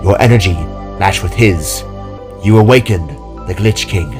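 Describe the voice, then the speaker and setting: A man reads as a motherly fox spirit in a fantasy tale. The voice is low and grave, explaining with protective solemnity while music plays underneath. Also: a little slow. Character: solemn motherly female kitsune guardian, wise and protective.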